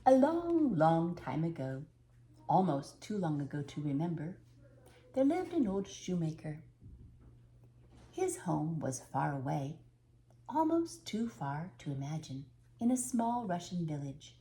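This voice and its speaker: Older woman reading with lively, swooping intonation. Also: low voice. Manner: unhurried, expressive storytelling with dramatic pauses. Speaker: storyteller reading aloud. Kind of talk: children's storybook read-aloud.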